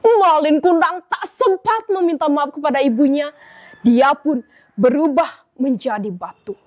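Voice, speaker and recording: Teen girl telling a folk tale. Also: sits high. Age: teens. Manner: dramatic, measured recitation. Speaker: student storyteller. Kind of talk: storytelling competition narration.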